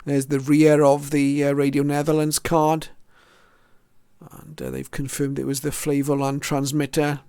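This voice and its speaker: Smooth, even-toned mature man narrating. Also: a little high, a little slow, no echo, studio quality. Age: middle-aged or older. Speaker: shortwave radio hobbyist presenting his collection. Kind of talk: casual show-and-tell narration.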